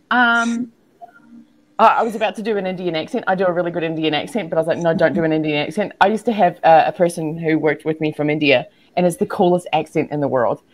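Animated, emphatic woman, lowish voice. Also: fast talker. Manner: bright, energetic, emphatic, repeats words for emphasis. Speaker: guest, entrepreneur and speaker. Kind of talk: animated interview talk to a live online audience.

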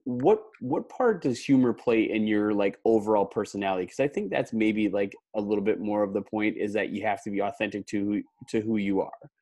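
Casual halting interviewer. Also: mid-range voice, warm tone. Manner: casual, friendly, halting restarts. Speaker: podcast host, interviewer.

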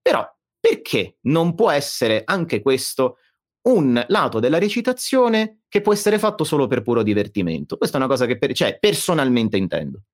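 A man chatting casually as a guest fan dubber, halting and often correcting himself, with music behind him. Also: mid-range voice, big pitch swings, no echo. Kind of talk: casual chat. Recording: dry sound with music behind.

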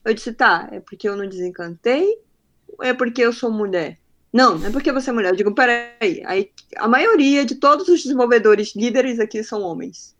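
A woman's voice: fairly high pitch, animated, a little fast. A woman from northern Brazil with a faint northern Brazilian accent, a guest on a podcast who tells her stories in casual talk and acts out the people she quotes. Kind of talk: casual interview chat.

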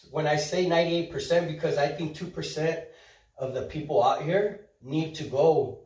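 A man in his thirties, a guest chatting casually on a podcast, upbeat and animated, acting out quoted voices and dropping in Spanish words. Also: mid-range voice. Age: 30s. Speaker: podcast guest, social media influencer. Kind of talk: casual chat. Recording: roomy, mic at a distance.

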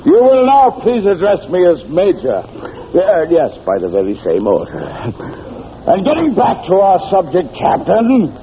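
An actor playing a blustering, sarcastic army captain who barks pompously in an old-time radio comedy. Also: male voice, sits high, huge pitch swings, unhurried, full character voice. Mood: pompous scolding. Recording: noisy, tinny old radio recording.

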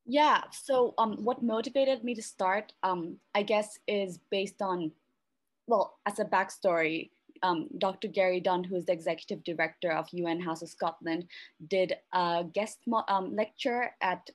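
A woman's voice: fairly low pitch, steady tone, normal speed, no room sound. A young woman student introducing herself and answering in a chatty, rambling way. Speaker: student, society co-founder.

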